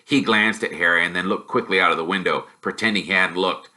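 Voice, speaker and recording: A man narrating a storybook in an expressive, animated way, as someone who performs the characters. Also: sits low, fast. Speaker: reader, teacher.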